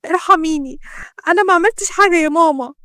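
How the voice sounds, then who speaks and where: Woman doing a wronged young egyptian girl in an audio drama, high voice, pleading n scared, talks fast. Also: animated, no room sound, studio quality. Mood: desperate, frightened. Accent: Egyptian Arabic. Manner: pleading, emotional, insistent.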